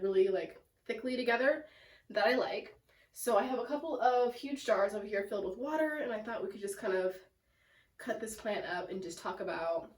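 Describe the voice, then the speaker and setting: A young woman with a sick, congested, raspy and nasal voice, chatting casually in a home plant vlog with lots of fillers. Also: mid-range voice, darkish tone. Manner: chatty and self-deprecating, lots of "like" and "um". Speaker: plant vlogger. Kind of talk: casual rambling vlog chat. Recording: echoey room, mic at a distance.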